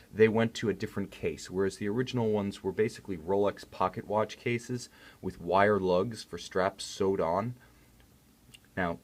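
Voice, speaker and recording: A man presenting a product review in an articulate, enthusiastic way, with technical detail and emphasis on key words. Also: sits low. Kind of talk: detailed product review commentary, semi-improvised.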